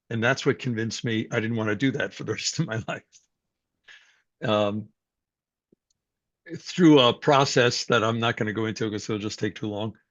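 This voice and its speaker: An older man tells his life story in a low, reflective voice, pausing on fillers and restarting phrases as he goes. The recording is dry and a little dull, with no room around him. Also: fairly bright tone, no echo. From New York City, USA. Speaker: guest speaker. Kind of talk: personal life story, conversational talk.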